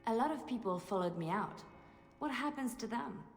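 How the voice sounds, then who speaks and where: A woman's voice: on the high side, lively intonation, moderate pace. A woman playing a guarded, defiant young female bard in video game dialogue, with pointed questioning. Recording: echoey hall reverb with music.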